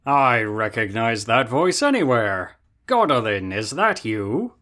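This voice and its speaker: A man voicing a wary, practical male dwarf traveler who speaks in a measured, questioning way. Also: mid-range voice, sing-song, fairly bright tone. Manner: measured, questioning, a bit wry. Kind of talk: fan audio drama roleplay.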